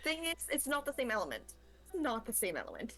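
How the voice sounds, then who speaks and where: A woman's voice: on the high side, huge pitch swings, quick pace, fairly bright tone, faint music behind. A woman guest on a game stream, chatting casually and somewhat hesitantly. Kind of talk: casual chat about gaming.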